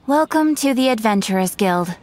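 A woman voicing a composed, courteous guild receptionist in a video game, formal and measured. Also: on the high side, animated, darkish tone, lightly acted. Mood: courteous, calm. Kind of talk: anime-style video game acting.

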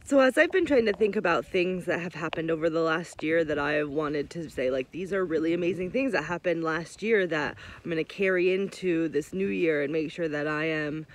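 A woman's voice: sits low, brisk, hardly any pauses. A woman in her thirties chatting upbeat and rambling into a phone held close, first in a gym hallway and then in a parked car. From Vermont, USA. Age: 30s. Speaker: vlogger. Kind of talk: casual vlog chat.

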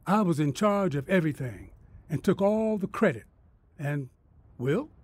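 A man's voice: fairly high pitch, animated, unhurried, darkish tone, no echo. A man playing a humbled, earnest farmer telling his story in folksy rural phrasing in a radio drama. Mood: wry, reflective.

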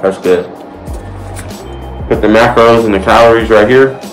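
Young guy with a deep voice, laid back cooking vlog, mic kinda far off, music playing under it. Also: measured pace. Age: young adult. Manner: laid-back, chatty, talks to viewers while cooking. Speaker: fitness vlogger. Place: home kitchen, indoors. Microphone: camera set on the counter, a few feet away. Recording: distant camera mic, music behind.

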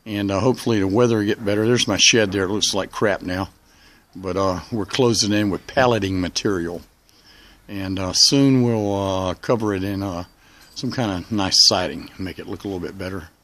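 Low-voiced man narrating casually outdoors. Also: moderate pace, a bit bright. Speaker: hobby radio video maker. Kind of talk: casual vlog narration while filming. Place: outdoors in a backyard.

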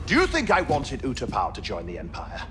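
An actor playing a cynical captive politician in a video game, speaking in a condescending, oratorical way. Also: male voice, on the low side, huge pitch swings, a little fast, over music. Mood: defiant, bitter. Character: captive politician, cynical smooth-talking collaborator. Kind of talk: dramatic game cutscene acting.

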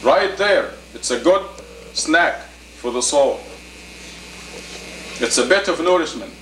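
A man in his fifties giving a sermon as an imam, deliberate and emphatic, in short phrases and rhetorical questions. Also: fairly high pitch, expressive, a bit bright. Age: 50s. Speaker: imam, preacher. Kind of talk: sermon, teaching plainly to a congregation. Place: indoor meeting room. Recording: roomy, distant camcorder audio with faint noise.

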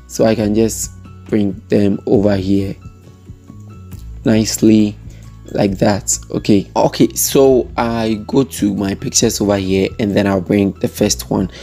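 A man talking through a software design tutorial step by step, chaining steps with "and then". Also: fairly low pitch, gravelly. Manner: steady step-by-step narration with frequent "and then". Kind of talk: screen-recorded software tutorial.

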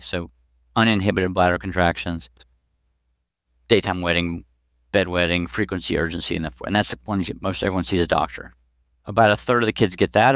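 A man's voice: lowish voice, a bit bright, no room sound, studio quality. A man in his forties giving informal, conversational expert explanations with everyday examples, speaking into a headset on a video call. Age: 40s. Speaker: pediatric urologist, podcast guest. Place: small clinic office, video call. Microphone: headset boom mic.

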